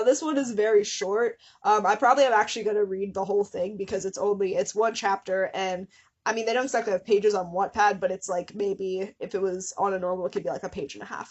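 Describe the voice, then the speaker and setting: A young woman with a slightly husky voice reads aloud in a casual, comedic way. She sounds amused by the material and keeps interrupting herself with wry, mock-suffering asides. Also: mid-range voice, darkish tone, muffled recording. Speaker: host of a small channel. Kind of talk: casual comedic dramatic reading of fan fiction with asides.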